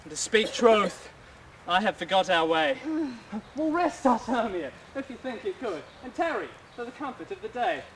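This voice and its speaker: A man's voice: sits high, sing-song, normal speed, a bit bright, slight background noise. A man playing an ardent, fickle young lover, speaking earnest Elizabethan verse. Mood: tender. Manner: earnest verse, breaks into laughter.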